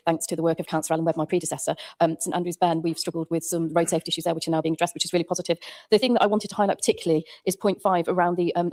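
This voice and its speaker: Very fast-talking English woman councillor. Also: on the low side, a little mellow. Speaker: county councillor. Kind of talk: formal council debate speech.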